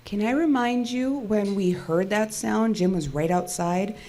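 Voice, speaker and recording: A woman's voice: medium pitch, measured pace, warm tone, natural voice. A woman acting the part of a practical, skeptical technician in an audio drama, with a dry, matter-of-fact delivery. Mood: guarded, skeptical. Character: practical, skeptical, dry-witted female cell tower technician. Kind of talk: naturalistic audio drama dialogue.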